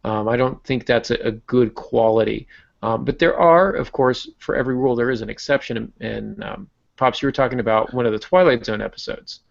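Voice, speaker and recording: An American man hosting a writing podcast, explaining in a thoughtful, halting, conversational way. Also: medium pitch, creaky, dull audio. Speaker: podcast host and novelist.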